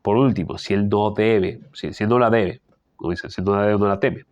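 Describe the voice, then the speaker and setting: Low-voiced man reading political commentary. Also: a little fast, a little mellow, no echo, highs cut off. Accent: Peruvian Spanish. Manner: frequent throat clearing and tag questions. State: allergic, clearing his throat. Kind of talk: reading a news column aloud with personal asides.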